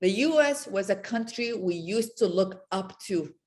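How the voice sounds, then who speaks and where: A woman from Iraq answers as an interview guest at a very slow, deliberate pace. She is passionate and blunt, leaning hard on words and repeating them for emphasis. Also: medium pitch, lively intonation, darkish tone. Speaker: interview guest, women's rights advocate.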